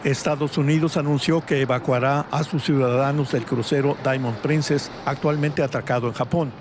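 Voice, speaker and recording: A man's voice: mid-range voice, steady tone, normal speed. Male news reporter over street noise.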